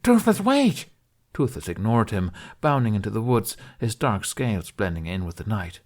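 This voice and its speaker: Male narrator, lowish voice, calm measured storybook read. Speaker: podfic reader.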